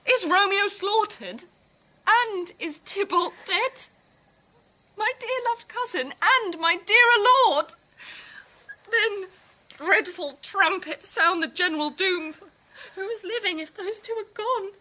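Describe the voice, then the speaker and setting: A young bride in a Shakespearean radio drama. Her voice is high and bright, and she takes her time over the verse. The delivery is theatrical and full of passion. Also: animated, slow, heavily acted. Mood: anguished, horrified.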